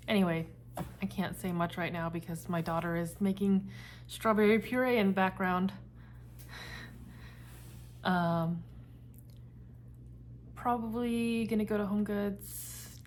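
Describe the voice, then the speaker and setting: A woman's voice: mid-range voice, slight background noise. A woman in her thirties chatting casually in a book vlog, meandering and trailing off as she talks. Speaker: book vlogger. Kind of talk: casual chat.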